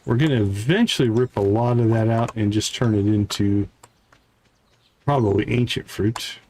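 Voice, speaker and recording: A man's voice: lowish voice, moderate pace, a little mellow, slight background noise. A man hosting a gaming livestream, thinking aloud in casual, chatty commentary as he plays.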